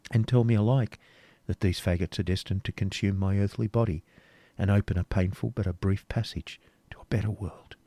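A defiant young woman, as a male reader puts her on, speaking softly and solemnly in a low, gravelly voice. She is steadfast and grave. The storybook reading is close and dry. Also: fairly even intonation, a little fast, no echo.

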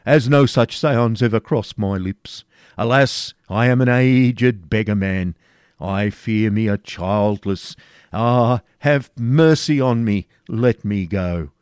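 A man's voice: fairly low pitch, takes it slowly, darkish tone, clean tone, dry recording. A frightened old beggar man from a medieval tale, as a male narrator plays him. He pleads and laments in archaic phrases, raised and emphatic, in a dry recording. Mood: alarmed pleading.

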